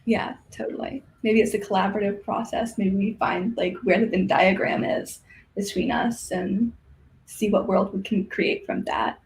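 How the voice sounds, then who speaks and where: A woman's voice: mid-range voice, steady tone, normal speed, slight background noise, low-quality recording. A woman guest chatting casually and reflectively, searching for her words as she goes. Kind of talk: casual chat.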